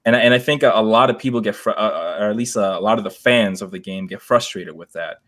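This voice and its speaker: A man co-hosting a podcast in casual discussion, talking haltingly as he feels out each point. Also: fairly low pitch, a bit bright. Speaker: podcast co-host.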